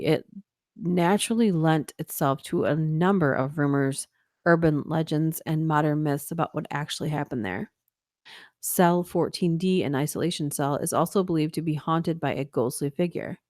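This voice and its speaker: Low-voiced woman with a tiring voice. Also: no room sound, clean studio sound. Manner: steady reading voice breaking into quick laughing asides. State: voice tiring, nearly worn out. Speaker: livestream host reading stories. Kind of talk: reading ghost stories aloud, chatty asides.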